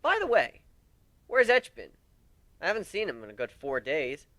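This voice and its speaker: A man's voice: fairly high pitch, huge pitch swings, normal speed, sharp tone, dry recording, voice pushed up, heavily acted. A man voicing a wounded male griffin captain in a story, wry, plaintive and emphatic, blaming himself yet devoted. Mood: curious.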